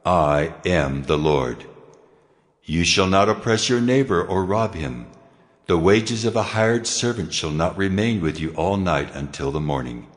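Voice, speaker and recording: A deep commanding God. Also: male voice. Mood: solemn. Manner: slow, grave, commanding. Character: God speaking laws, solemn commanding male deity. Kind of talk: dramatized scripture reading. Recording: long reverb with faint music.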